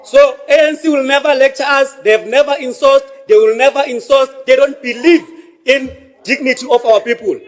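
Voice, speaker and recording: A South African man, a councillor giving a heated, combative speech in a council debate, often shouting. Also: very high-pitched, fairly even intonation, moderate pace. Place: council chamber.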